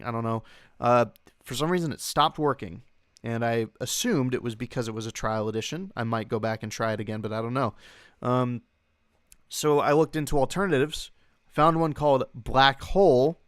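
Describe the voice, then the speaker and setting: A man's voice: medium pitch, clean tone, no echo, clean studio sound. A young man chatting casually as he hosts a livestream, talking in a rambling, hesitant way and breaking off his own sentences. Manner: rambling, hesitant, self-interrupting. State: occasional coughing from long covid. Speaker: livestream host.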